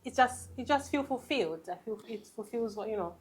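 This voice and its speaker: A woman's voice: a little high, expressive, fairly quick, slightly rough. Chatty woman from Zambia, shop owner. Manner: warm, chatty, many fillers like "you know". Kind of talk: casual interview answers.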